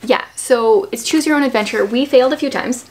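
A woman's voice: fairly high pitch, fairly quick, rough recording. Conversational woman in her thirties. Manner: explanatory, conversational, prompting with questions. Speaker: host, homeschooling mother. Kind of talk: casual book review chat. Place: small furnished room at home, indoors.

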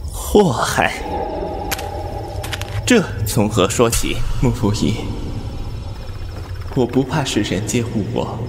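A man voicing a proud, sly male fox spirit in a fan audio drama, mocking with soft scornful laughs. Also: medium pitch, sing-song, slow pace, pauses often, noisy background. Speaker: narrator and lead. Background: loud background music.

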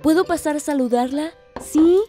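An actress with a Mexican accent playing a bold, idealistic young daughter in a radio drama. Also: female voice, high voice, moderate pace, dark tone, soft background music, natural voice. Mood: gentle, curious.

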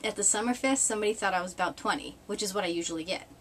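Woman, thirties, from New England, casual webcam chat, wry, rambling, mid pitch, faint background hiss. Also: rapid-fire, a bit bright. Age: 30s. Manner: rambling, wry, laughs often, mild swearing. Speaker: vlogger. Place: small indoor room with red cloth backdrop.

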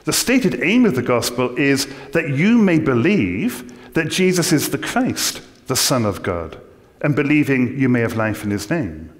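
English scholar lecturing in a reverberant church. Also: male voice, medium pitch, big pitch swings. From England. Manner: measured, scholarly, dry humor. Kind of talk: formal theology lecture. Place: large church, indoors. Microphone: lectern mic.

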